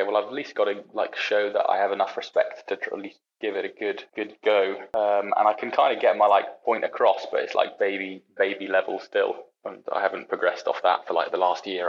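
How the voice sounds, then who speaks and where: A young man from the UK talks casually as a podcast guest. His stories ramble along through restarts and self-deprecating asides. His voice sits fairly low, and the recording sounds dull and lo-fi. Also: creaky. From United Kingdom. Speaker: podcast guest, racing engineer. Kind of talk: casual chat.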